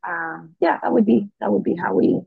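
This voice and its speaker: A young woman from the Dominican Republic, answering interview questions in a relaxed way, with many fillers and restarts. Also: mid-range voice, moderate pace, vocal fry, husky, no room sound, phone-quality sound. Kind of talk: casual conversational interview.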